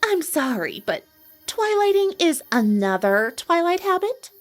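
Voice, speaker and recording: A woman's voice: very high voice, big pitch swings, a little slow, faint music behind, exaggerated voice. A woman voicing a sassy, theatrical yet affectionate female pony magician with a wry drawl, in a dramatised fan fiction story. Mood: soothing.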